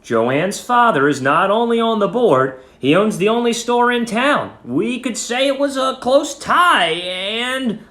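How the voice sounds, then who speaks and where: A man's voice: very high voice, big pitch swings, measured pace, few pauses, a bit bright, voice pushed up, exaggerated voice. A man voicing a scheming male math teacher who coaxes and insists, in a dramatic story reading. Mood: coaxing. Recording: roomy echo.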